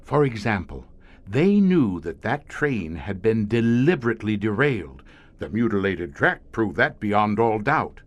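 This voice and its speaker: An actor playing a grim veteran scientist who heads a secret monster-hunting squad in an audio horror drama, speaking in a deliberate, erudite, brooding storyteller's manner. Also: male voice, on the low side, sing-song. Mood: measured, confiding.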